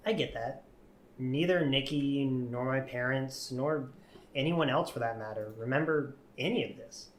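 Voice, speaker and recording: A man playing an earnest, haunted man in his early twenties who tells his eerie story haltingly in an audio drama. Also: medium pitch, a little hiss or hum, lightly acted. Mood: earnest, pleading. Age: young adult. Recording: roomy, echoey voice recording.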